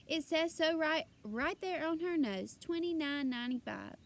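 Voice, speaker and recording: A woman's voice: high voice, moderate pace, voice pushed up. A woman voicing a stubborn male store cashier, matter-of-fact and insistent, in a storybook reading.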